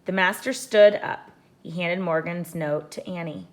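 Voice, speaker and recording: A woman reading a children's book aloud like a teacher, in expressive scripted storybook narration. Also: fairly low pitch, measured pace.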